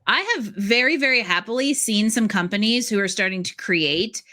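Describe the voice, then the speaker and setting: A woman hosting a conversational podcast interview, explaining things in an animated, casual way. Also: medium pitch, moderate pace. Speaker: podcast host interviewing a guest.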